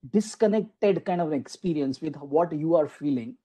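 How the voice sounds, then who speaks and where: A man's voice: on the high side, lively intonation, moderate pace, mellow, slightly rough. A man giving a conversational online lecture to teachers, with many restarts and "you know" asides, over a video call. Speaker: guest lecturer at a faculty development program.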